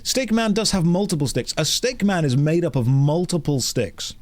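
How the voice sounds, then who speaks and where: A man's voice: a little high, expressive, moderate pace, darkish tone. A man with a British accent hosting a casual livestream, reading chat polls aloud in a playful, wry, jokey way. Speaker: streamer.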